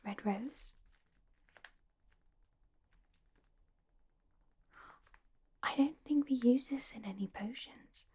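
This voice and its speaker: A woman playing a studious, caring teenage schoolgirl witch in a whispered, breathy ASMR roleplay, speaking gently and helpfully with small hesitant pauses. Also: a little high. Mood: gently advising.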